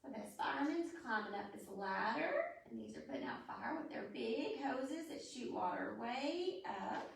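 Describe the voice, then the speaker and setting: A woman's voice: fairly high pitch, expressive, normal speed, gravelly, hardly any pauses. A woman hosting a storytime for young children, explaining things in simple, demonstrative phrases as she talks and reads aloud. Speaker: library storytime host. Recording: echoey room, distant microphone.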